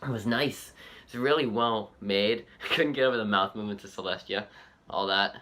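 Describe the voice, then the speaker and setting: A man giving casual, unscripted fan commentary as a reaction video host, halting and repeating himself as he goes. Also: on the low side, fairly bright tone, slight background noise.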